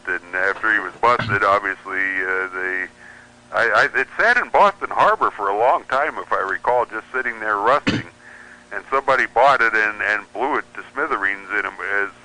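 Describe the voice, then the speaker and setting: A man's voice: lowish voice, moderate pace, bright, forceful tone, low-quality recording. A middle-aged or older man reminiscing in casual, anecdotal conversation as a guest on a radio show.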